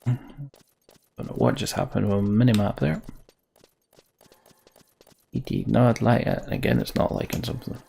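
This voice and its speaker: Gravelly, mellow man streaming. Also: fairly low pitch, very animated, moderate pace, pauses often, slight background noise. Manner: rambling, thinking aloud. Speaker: video game streamer. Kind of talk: casual live gameplay commentary. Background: game music, keyboard typing.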